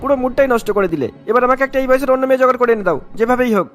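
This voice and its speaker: A man's voice: extremely high pitch, lively intonation, very fast, faint music behind. A man playing a scolding, villainous middle-aged child trafficker in a cartoon. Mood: angry, demanding. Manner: scolding, demanding. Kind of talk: cartoon dialogue acting.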